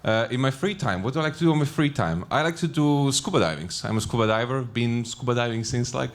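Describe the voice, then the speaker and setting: A man's voice: medium pitch, lively intonation, fast talker. A man answering panel questions on a conference stage, chatty and laughing often as he thinks aloud. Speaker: panel guest, Kubernetes trainer. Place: conference stage before an audience.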